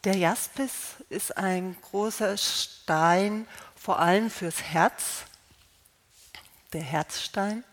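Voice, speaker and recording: A woman with a slight cold calmly explaining how to use healing stones, unhurried, with fillers and restarts. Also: mid-range voice. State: slight cold, clearing throat. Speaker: gemstone healing presenter.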